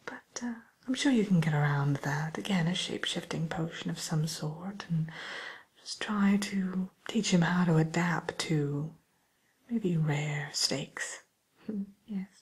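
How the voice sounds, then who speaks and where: A woman playing a polite, discreet matchmaker for villains in a whispered ASMR roleplay, speaking softly and breathily with tactful, hesitant, gently dry delivery. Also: low voice, takes it slowly. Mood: helpful, coaxing.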